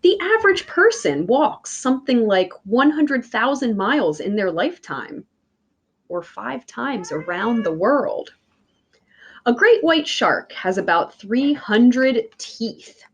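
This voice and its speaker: A woman reading a picture book aloud like a teacher, with deliberate, emphatic phrasing for young listeners. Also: a little high, very animated, breathy edge. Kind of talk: children's read-aloud.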